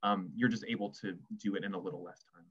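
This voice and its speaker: A man answering reflectively as a writer on a panel, choosing his words in a measured, deliberate way. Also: deep voice, steady tone, fairly bright tone, husky, highs cut off. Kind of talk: reflective Q&A talk.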